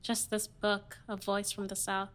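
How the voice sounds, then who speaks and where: Woman acting a slow-talking, detached young wife. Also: mid-range voice, completely flat delivery, a bit bright, lightly acted. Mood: dry, dismissive. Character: wry, restless, distant young newlywed wife. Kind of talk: stage play audio reading.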